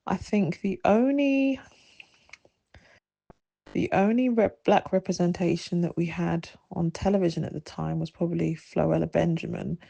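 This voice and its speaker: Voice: medium pitch, fairly even intonation, a little slow, gravelly, warm tone. A person answering in an interview, hesitant and searching for words.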